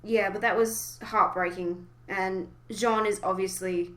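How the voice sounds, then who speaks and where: Bright-voiced woman chatting as podcast host. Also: mid-range voice, fairly even intonation, a little slow. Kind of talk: casual fan discussion of an animated series.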